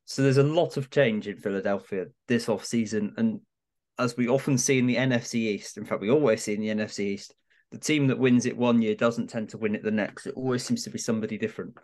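A man with a medium-pitched voice talks as a guest pundit on a sports podcast. His delivery is conversational and analytical, like an informal chat. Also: quick pace, a little mellow.

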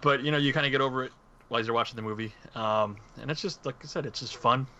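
A man's voice: medium pitch, fast talker, sharp tone, muffled recording, a little hiss or hum. A man co-hosting a podcast, sharing his opinions in casual chat. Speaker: podcast co-host.

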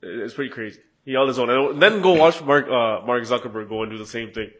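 Fast-talking ranting young man. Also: mid-range voice, a bit bright. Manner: ranting, making his point insistently. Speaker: podcast co-host. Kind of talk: casual banter.

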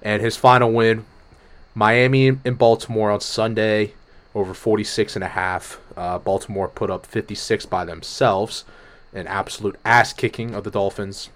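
A man hosting a casual sports betting podcast, hoarse from a cold, talking with energy and swearing freely. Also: lowish voice, moderate pace. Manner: energetic, profane, jumps between topics. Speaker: podcast host.

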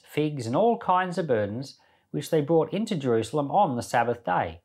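A man hosting a Bible podcast, reading scripture expressively and with emphasis, then commenting on it informally. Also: fairly high pitch. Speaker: Bible podcast host and reader.

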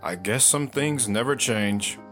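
An actor playing a steady, philosophical friend in an audio drama, speaking in a measured, reflective way. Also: male voice, mid-range voice, faint music behind, natural voice. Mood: wistful, philosophical. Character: steady, philosophical male friend giving folksy counsel.

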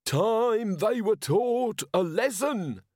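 A man voicing an outraged soapbox demagogue in an audiobook, shouting his grievances in drawn-out oratory. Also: sits high, animated, no echo, clean studio sound, voice pushed up, exaggerated voice. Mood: outraged, ranting.

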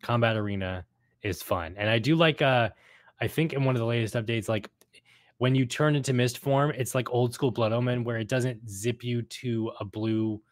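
A man's voice: medium pitch, moderate pace, dry recording, clean studio sound. A man co-hosting a casual gaming podcast, talking enthusiastically in a rambling way and repeating phrases for emphasis. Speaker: podcast co-host.

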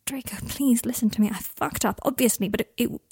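A woman's voice: high voice, animated, brisk, no room sound, studio quality, pitched above the performer's own voice. A woman voicing a remorseful, desperate young man who apologizes earnestly and stumbles over his words.

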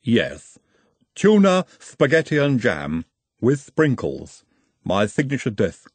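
A man doing a slow, formal stranger. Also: medium pitch, wildly swooping pitch, slightly rough, dry recording, exaggerated voice. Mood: grave. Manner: slow, measured and polite.

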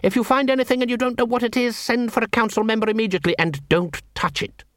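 A man voicing a cold, curt male head of a guild of assassins, snapping orders in a slow, raised voice. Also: very high-pitched, fairly even intonation, no room sound, clean studio sound, voice pushed up. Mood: commanding. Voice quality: sharp, curt, commanding.

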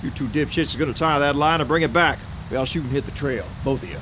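A man playing a gruff, curt, commanding sawmill foreman in an 1890s frontier film drama, with ambient noise. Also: fairly high pitch, fast, fairly bright tone, natural voice. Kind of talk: dramatic film script acting.

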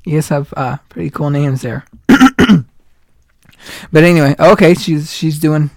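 A man's voice: on the high side, a little slow, vocal fry, no echo, studio quality. A man in his late twenties hosting a casual podcast chat, rambling along with lots of "like" and retold quotes. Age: 20s. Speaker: podcast host.

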